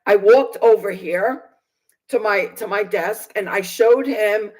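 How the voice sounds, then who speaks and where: A woman's voice: mid-range voice, slow pace, darkish tone, slight background noise, poor audio. A woman in her sixties giving personal testimony to viewers in an aggrieved, emphatic manner, repeating phrases for stress. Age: 60s. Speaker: vlogger recounting personal experience.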